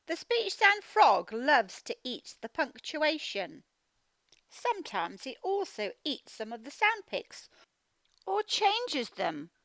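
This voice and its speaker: A woman's voice: sits high, bright, forceful tone, no echo. A woman reading a storybook as a phonics teacher, sounding out words and putting questions to young children. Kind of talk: interactive storybook read-aloud lesson.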